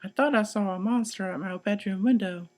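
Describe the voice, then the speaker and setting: A man's voice: sits high, a little fast, darkish tone, pitched above the performer's own voice, lightly acted. A man voicing a timid, easily startled younger sister in a children's storybook. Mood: sheepish explaining.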